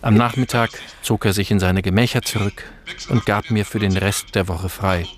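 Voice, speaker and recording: A man's voice: on the low side, fairly even intonation, normal speed, natural voice. A man playing a dry, sober and disapproving court aide who narrates a radio play in polite, understated diary style. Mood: wry.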